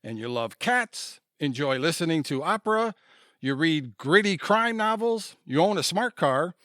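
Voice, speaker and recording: A male narrator delivers a scripted comic introduction like an announcer. His voice sits a little high for a man, and the recording is dry and close. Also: sing-song, measured pace, bright, forceful tone, no room sound.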